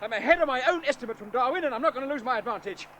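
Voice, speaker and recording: A cocky boozy pilot in a radio play, high bright voice, bossy and slangy. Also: male voice, animated, very fast, slight background noise. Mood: bullying. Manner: brash, bullying, slangy. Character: brash hard-drinking male pilot, cocky. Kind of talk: 1970s radio drama acting.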